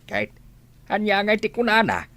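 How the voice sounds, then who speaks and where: A man's voice: high voice, huge pitch swings, moderate pace, sharp tone, no room sound, exaggerated voice. A man with an Ilocano accent playing a gossipy, disapproving village uncle in a comedy radio drama. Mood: nosy, disapproving.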